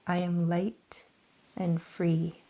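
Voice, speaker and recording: A woman guiding a yoga nidra meditation in a slow, soft, soothing voice with long pauses. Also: on the low side, flat delivery. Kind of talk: guided meditation.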